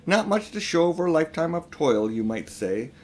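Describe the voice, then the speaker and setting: A man's voice: on the high side, big pitch swings, moderate pace, pitched above the performer's own voice. A man voicing a pompous, vain, selfish elderly magician uncle in a storybook reading, with a grandiose, self-important manner. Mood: boastful. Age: older. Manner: grandiose, self-important, indignant when challenged.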